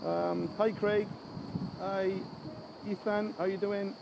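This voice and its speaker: A man's voice: high voice, level tone, slow, vocal fry. A middle-aged man with a strong Welsh Valleys accent, chatting on a live stream and greeting viewers by name. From Wales. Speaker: internet marketing coach. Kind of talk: casual live stream chat. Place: outdoors by a bay. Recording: loud outdoor wind and traffic.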